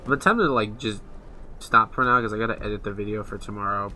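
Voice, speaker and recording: A man with a very bright, forward voice and a lowish pitch plays a game on video. He comments casually and answers the characters informally, with faint music behind him. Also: quick pace. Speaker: gamer, video creator. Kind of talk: casual let's play commentary.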